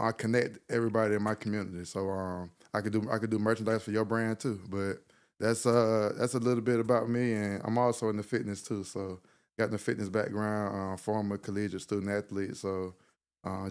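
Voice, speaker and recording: A man's voice: on the low side, little pitch movement, a little fast, smooth. Young man, podcast guest, laid-back and slangy, lots of fillers. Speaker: guest, rapper and business owner. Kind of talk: casual chat.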